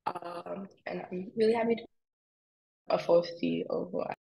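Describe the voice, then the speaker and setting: Student panelist: young female from Canada, slightly low and gravelly with vocal fry, casual delivery. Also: steady tone, normal speed, dull audio, slight background noise. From Nova Scotia, Canada. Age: young adult. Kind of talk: casual self-introduction on a video call.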